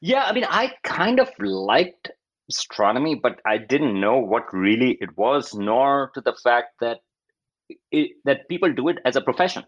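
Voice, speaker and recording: A man's voice: medium pitch, big pitch swings, normal speed, dull audio. A man in his fifties with a Pakistani accent, an academic explaining research in an interview and often acting out quotes as he goes. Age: 50s.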